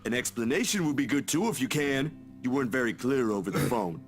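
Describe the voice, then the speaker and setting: Male actor as defensive young man, excitable, lively swings in pitch, visual novel drama, faint music. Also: fairly quick, natural voice. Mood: indignant. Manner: expressive, protesting.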